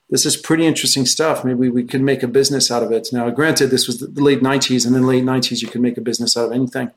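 A middle-aged man from France, a guest answering interview questions in a relaxed way, often restarting his sentences. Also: mid-range voice, low-quality recording. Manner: relaxed, with frequent restarts and fillers. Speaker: guest, research firm founder.

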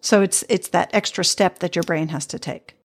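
A woman's voice: lowish voice, moderate pace. A middle-aged woman hosting a podcast, chatting in a reflective, easygoing way and laughing easily. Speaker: podcast host. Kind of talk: casual interview chat.

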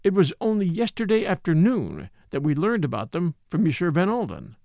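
A man's voice: sits high, wildly swooping pitch, fairly quick, vocal fry, mellow, no room sound, heavily acted. A man voicing a proper, discreet official in an audiobook, formal, earnest and easily pained. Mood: pained, shocked.

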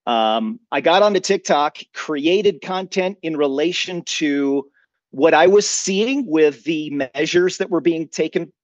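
A man's voice: a little high, dry recording, lo-fi sound. A man giving long, opinionated explanations as an interview guest, earnest and conversational, often posing rhetorical questions. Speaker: podcast guest, emergency preparedness expert.

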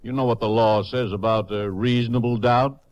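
A man's voice: medium pitch, fairly even intonation, moderate pace, a little mellow, muffled recording, lightly acted. An American man acting a smug, sneering storekeeper villain in a 1950s radio western, sarcastic and cocky. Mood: mocking.

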